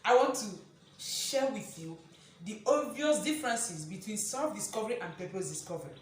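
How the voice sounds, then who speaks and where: A woman with a loud, projecting voice giving a motivational teaching talk, emphatic, repeating key phrases and putting rhetorical questions to listeners. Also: medium pitch, expressive, normal speed. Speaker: motivational speaker and presenter. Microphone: distant camera mic, no microphone on speaker. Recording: echoey room, distant mic, faint noise.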